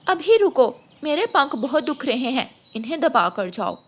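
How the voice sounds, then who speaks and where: A woman's voice: sits high, sing-song, normal speed, pitched above the performer's own voice. A woman voicing a bossy, greedy female crow employer in a cartoon, haughty and threatening. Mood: haughty, demanding. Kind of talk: Hindi moral cartoon story dialogue.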